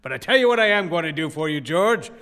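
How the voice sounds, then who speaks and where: A man's voice: a little high, expressive, pushed and bright. A man playing a sneering, ruthless old rich banker in a radio play, mocking and drawing out his taunts. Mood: contemptuous, threatening. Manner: mocking, contemptuous, drawn-out taunting.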